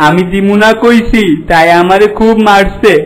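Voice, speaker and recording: A man's voice: high voice, moderate pace, lightly acted. A man voicing a downtrodden poor villager in a cartoon folk tale, plaintive and tearful, with a Bangladeshi Bengali accent. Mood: sorrowful.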